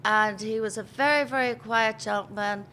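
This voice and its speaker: Chatty Irish woman, bright voice. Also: on the high side, steady tone, moderate pace, slight background noise. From Ireland. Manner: chatty storyteller, laughs easily, acts out quotes. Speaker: pub owner, interviewee. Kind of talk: casual interview chat.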